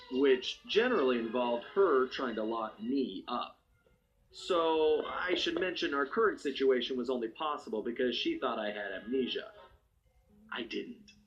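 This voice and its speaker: An American man voicing a suave, confident master thief, narrating a video game cutscene in a smooth, wry, unhurried storytelling manner. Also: medium pitch, fairly bright tone, dull audio, close to an everyday voice. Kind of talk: scripted first-person cutscene narration. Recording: music under the voice.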